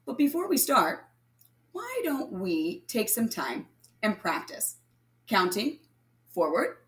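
Female primary teacher, lower-pitched, very unhurried delivery, read-aloud counting lesson for little kids, leaves gaps for answers. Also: a bit bright. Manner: instructional, addressing young children, pausing for answers. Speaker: primary school math teacher.

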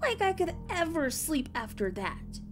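Woman acting young female cartoon pony, very high voice, animated delivery, brash, blunt, protective, horror story. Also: measured pace, music in the background. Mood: weary, blunt.

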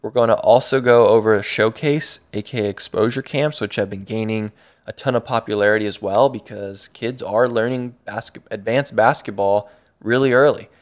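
A man's voice: lowish voice, moderate pace. A man narrating an online course over slides, upbeat and a little rambling, with frequent restarts. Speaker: course instructor and website creator.

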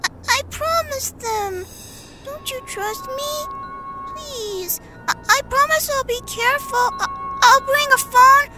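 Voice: very high voice, slow pace, music in the background. A performer voicing an earnest eight-year-old skeleton little brother in a comic dub, childlike, stammering and emotional. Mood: pleading. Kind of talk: dramatic comic dub acting.

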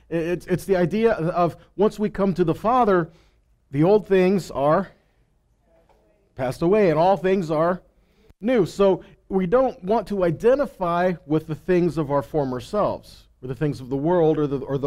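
High-pitched middle-aged man preaching. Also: warm tone. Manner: rhetorical questions with frequent check-ins like "okay?" and "right?". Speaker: preacher, Bible teacher. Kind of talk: sermon teaching. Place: indoor stage in a meeting hall. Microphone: headset.

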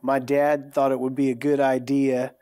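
A man's voice: mid-range voice, monotone, normal speed, mellow. A man in his thirties from California with an American accent, telling a personal story as a guest in a TV studio interview. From California, United States. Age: 30s. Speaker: worship leader, programme guest. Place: TV studio, seated on a sofa. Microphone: lapel mic.

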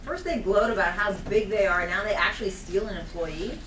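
A woman's voice: mid-range voice, brisk, fairly bright tone, natural voice. A woman playing a tough, scolding, loyal ex-convict barista in a live comedy table read. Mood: menacing, dry. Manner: tough, indignant. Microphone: far camera microphone. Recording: distant echoey noisy stage recording.

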